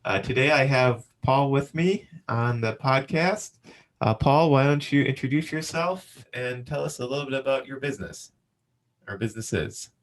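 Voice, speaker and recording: A man hosting a business podcast, asking his guest relaxed questions with frequent fillers. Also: medium pitch, moderate pace, slight background noise. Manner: scripted intro, then relaxed questions with fillers. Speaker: podcast host. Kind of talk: podcast interview.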